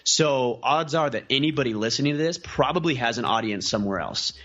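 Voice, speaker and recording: A man's voice: medium pitch, lively intonation, brisk, a bit bright, highs cut off. A man talking as a podcast guest in a casual interview, earnest and piling up lists of examples. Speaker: podcast guest, community founder.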